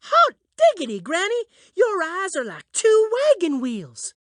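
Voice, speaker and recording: High-voiced plucky little cowgirl. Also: huge pitch swings, measured pace, no echo, clean studio sound, heavily acted. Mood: curious, excited. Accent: American Western. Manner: folksy, exuberant cowboy twang. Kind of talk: children's cartoon fairy tale acting.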